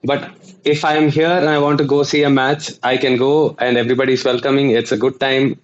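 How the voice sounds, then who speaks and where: A man's voice: medium pitch, little pitch movement, brisk. Man on slightly dull audio. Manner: explanatory, with many uh fillers and restarts. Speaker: guest author and journalist. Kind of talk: conversational interview.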